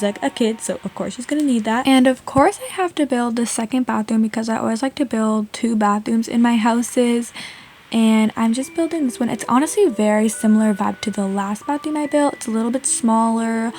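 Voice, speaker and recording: A woman's voice: fairly high pitch, brisk, a little mellow. A woman from Canada giving a casual, chatty game voice-over in an informal, indecisive way. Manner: informal, indecisive, frequent "like" and "honestly". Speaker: video creator narrating a game build. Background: background music.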